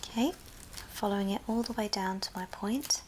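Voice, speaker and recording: A woman whispering a gentle, breathy, step-by-step ASMR craft tutorial. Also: medium pitch. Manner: slow, gentle step-by-step instruction. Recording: noisy background, handling clicks.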